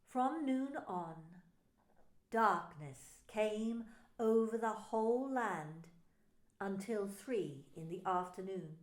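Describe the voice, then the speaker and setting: A woman pastor narrating a scripture story in a slow, solemn reading. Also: mid-range voice, animated, roomy sound.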